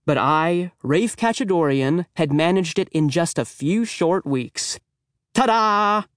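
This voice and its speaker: A man's voice: a little high, lively intonation, slow pace, smooth, no echo, clean studio sound. A man voicing a wry, self-mocking middle school boy who tells his misadventures in a children's audiobook, chatty and dramatic. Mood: cheeky pride. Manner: chatty, dramatic, tongue-in-cheek. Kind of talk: children's audiobook first-person narration.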